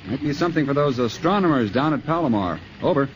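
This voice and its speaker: A man playing a brisk, plainspoken and wry forest ranger in a 1950s radio drama, on a noisy old recording. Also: medium pitch, lively intonation, lo-fi sound, natural voice. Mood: matter-of-fact. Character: brisk, wisecracking male forest ranger on fire duty.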